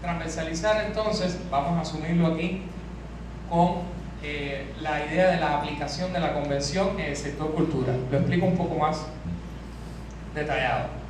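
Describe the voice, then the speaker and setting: Strained Cuban man lecturing in an echoey hall. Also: a little high. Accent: Cuban Spanish. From Cuba. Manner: measured, with frequent hesitations and restarts. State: voice strained from hours of teaching. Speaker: conference speaker. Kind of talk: academic seminar talk. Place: large conference hall. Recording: echoey hall, distant mic, noisy.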